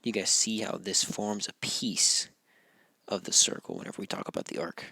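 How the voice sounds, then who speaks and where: A man teaching a geometry lesson, losing his voice, talking in a relaxed way and often checking in with "right?". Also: sits low, moderate pace, creaky, slightly rough, dry recording. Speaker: math teacher. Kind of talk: recorded classroom walkthrough.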